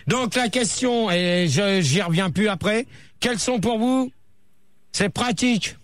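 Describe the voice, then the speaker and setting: A man hosting a rowdy, crude debate over CB radio, shouting and swearing at callers. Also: sits high, lively intonation, slow pace, no room sound. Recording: crackly CB radio sound.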